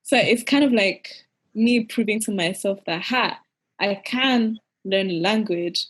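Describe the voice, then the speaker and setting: A young woman, a podcast guest, chatting in an animated, giggly way and peppering her speech with "like". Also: mid-range voice, a little slow. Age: young adult. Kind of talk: casual interview chat.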